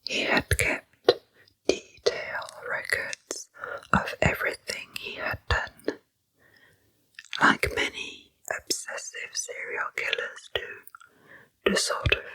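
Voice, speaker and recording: A woman narrating true crime in ASMR style, in a hushed, breathy whisper with deliberate storytelling. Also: rough recording. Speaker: narrator, ASMR channel host.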